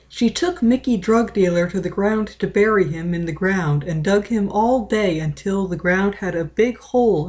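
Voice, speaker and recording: A woman narrating a scripted story as an amateur reader in a deadpan manner. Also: medium pitch.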